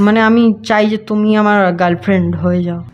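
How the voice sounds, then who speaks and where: A man's voice: high voice, fairly even intonation, fast talker, dull audio. A young man, chatting casually on a prank call in a hesitant, teasing way. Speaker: prank caller and video host.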